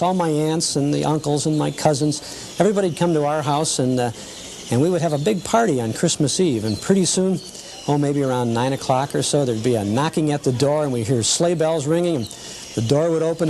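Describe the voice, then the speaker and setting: A man presenting and interviewing as a TV host in a casual, chatty, halting way. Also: a little high, a little mellow. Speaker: host of a nostalgia TV show. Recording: noticeable background noise.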